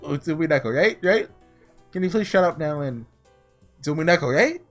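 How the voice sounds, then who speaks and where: A man doing a casual let's play, reading story text aloud and breaking off into excitable asides, with game music behind him. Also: fairly high pitch, a little fast. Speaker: gaming video creator doing a blind playthrough.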